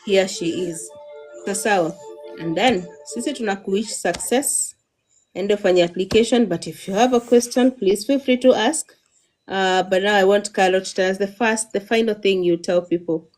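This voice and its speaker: A woman's voice: medium pitch, moderate pace, darkish tone. Kenyan female host, young, coaching style, code-switching, faint background music. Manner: mixes English and Swahili, coaching tone. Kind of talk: live-stream interview.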